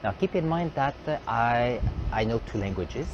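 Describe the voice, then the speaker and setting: A man's voice: mid-range voice, lively intonation, moderate pace, lo-fi sound, noisy background. Man thinking aloud. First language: French. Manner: reflective, thinking aloud with fillers and restarts. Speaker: host. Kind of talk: live stream talk.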